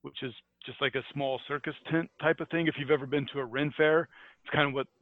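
A man's voice: medium pitch, fairly even intonation, fast, no echo, narrow, tinny audio. An American man co-hosting a casual podcast about a book, chatty and laughing often, with frequent restarts. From United States. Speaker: podcast co-host. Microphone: headphones and mic.

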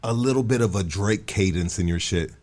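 A man's voice: lowish voice, fairly quick, a little mellow. A man hosting a call-in show, talking in loose street slang and calling people "dog" and "baby". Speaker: host. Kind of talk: casual call-in show chat.

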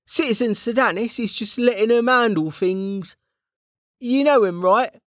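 A narrator playing a brash, slangy guard with a raised voice. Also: male voice, high-pitched, a little slow, a bit bright, no room sound, studio quality, pitched above the performer's own voice. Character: rough, cheeky male guard turned novice mage.

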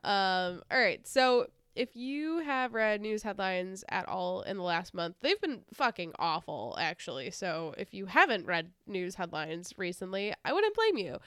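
A chatty woman co-hosting a podcast. Her voice is a bit nasal from a sinus infection, yet it stays very clear and smooth. The sound is dry and close, and she laughs and swears easily. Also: medium pitch, big pitch swings, unhurried, few pauses, fairly bright tone, dry recording. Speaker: podcast co-host. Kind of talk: casual podcast chat.

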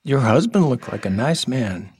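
A man acting in a radio play as a gentle, mysterious creature from a basement, with a formal, polite manner. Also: mid-range voice, very animated, moderate pace, husky. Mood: gentle, knowing.

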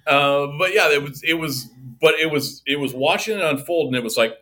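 A man's voice: a little high, brisk, sharp tone. A man co-hosting a casual morning chat, rambling with many restarts. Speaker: co-host. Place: office of an insurance enrollment center.

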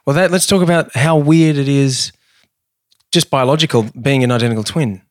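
A man's voice: mid-range voice, normal speed, dry recording. Playful man, dry close mic. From Australia. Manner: rambling, playful, laughs easily. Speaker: podcast host. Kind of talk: casual podcast chat.

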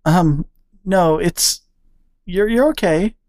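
A woman's voice: lowish voice, takes it slowly, darkish tone, dry recording, voice pushed up. A woman voicing a shy, nervous young female bat who stammers and apologizes. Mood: timid.

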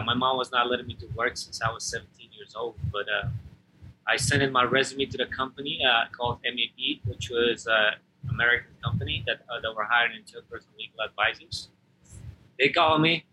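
A young man, a podcast guest telling his life story in an earnest, halting way. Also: mid-range voice, pushed and bright, a little hiss or hum, low-quality recording. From Afghanistan. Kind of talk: personal storytelling.

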